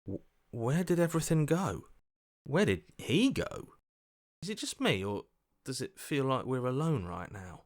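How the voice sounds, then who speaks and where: A man's voice: mid-range voice, sing-song, unhurried. An actor playing an earnest, doubtful everyman hero in a video game, questioning and hesitant as he reasons aloud. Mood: resigned, uncertain.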